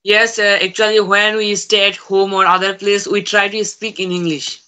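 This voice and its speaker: A teenage boy whose first language is Bangla talks haltingly in English on a video call from home, with many fillers and restarts. His voice is bright and edgy, and his pitch barely moves. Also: little pitch movement, clean tone. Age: teens. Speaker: student learner. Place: room at home. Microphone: phone on a video call.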